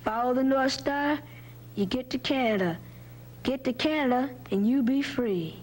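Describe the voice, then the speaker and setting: A woman reading a storybook aloud, voicing a curious, eager young boy who asks excited questions. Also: high voice, normal speed, a little hiss or hum, pitched above the performer's own voice, lightly acted, poor audio.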